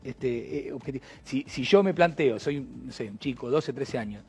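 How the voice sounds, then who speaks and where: Husky Argentine host, hesitant. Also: male voice, medium pitch, normal speed, darkish tone. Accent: Argentine Spanish. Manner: hesitant, many fillers and restarts. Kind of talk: conversational interview.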